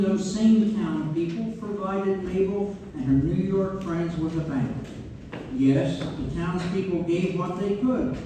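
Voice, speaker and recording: A man's voice: sits high, mellow. A man playing a genial narrator, presenting scripted local history as host of a theatrical read-through. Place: theater hall, live staged reading. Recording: echoey hall from far off, faint noise.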